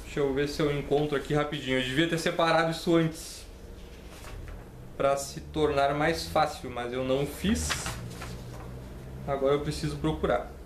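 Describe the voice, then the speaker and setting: A man's voice: on the high side, fairly even intonation, moderate pace, pauses often. A young Brazilian man in his twenties with a Brazilian Portuguese accent, speaking in a slightly raised register. He explains legal rules conversationally and reads them out while often checking in with his listeners. The recording is roomy and echoey, with a faint hum underneath. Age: 20s. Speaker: channel host explaining citizenship law. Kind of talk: casual explainer reading legal text. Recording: echoey room with faint ambient noise.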